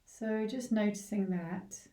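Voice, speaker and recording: A woman leading a guided body scan meditation in a gentle, unhurried way, leaving long pauses between short phrases. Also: mid-range voice. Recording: roomy, echoing, distant from the mic.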